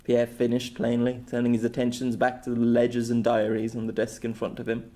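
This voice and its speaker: A man's voice: mid-range voice, monotone, brisk, mellow. A man reading scripted story narration as an amateur audiobook narrator.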